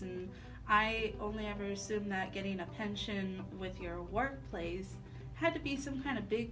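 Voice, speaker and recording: A woman with an average-pitched voice co-hosts a radio talk show. She speaks in a conversational way. Music is clearly audible behind her. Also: low-quality recording. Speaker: radio co-host.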